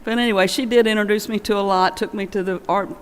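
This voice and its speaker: A woman's voice: medium pitch, steady tone, fast, smooth. An older woman telling informal, folksy anecdotes as a remembrance speech in a large church. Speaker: friend giving a remembrance. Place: large church, at a memorial gathering. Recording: long echo of a large church hall.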